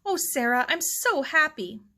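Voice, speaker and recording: An excited young bride-to-be, as a woman storyteller plays her, gushing and affectionate. Her voice sits high and lifts dramatically, in the manner of a storybook character. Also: unhurried, full character voice. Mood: dreamy, elated. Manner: gushing, effusive. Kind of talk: children's novel read aloud.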